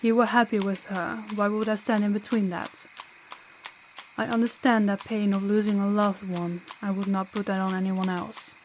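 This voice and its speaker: A woman's voice: medium pitch, level tone, a little hiss or hum, lightly acted, low-quality recording. A woman playing a young princess, stern, principled and formal, in an audio roleplay. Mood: gentle, explaining. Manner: formal, commanding, measured diction. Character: young princess, stern, authoritative, compassionate, defiant.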